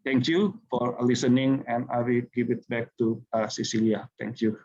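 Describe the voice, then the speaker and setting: A man's voice: medium pitch, level tone, moderate pace, a little mellow. A man with an Indonesian accent giving a policy presentation in a webinar, hesitant with heavy fillers. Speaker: government official presenter. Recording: slightly muffled video call audio.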